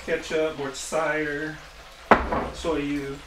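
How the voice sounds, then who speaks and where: A man's voice: fairly high pitch, level tone, slow pace. A man casually narrating his home cooking in short, playful, offhand phrases. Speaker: home cooking vlog host. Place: home kitchen, indoors. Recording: roomy, distant mic, loud kitchen noise. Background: frying, dishes and cutlery clatter.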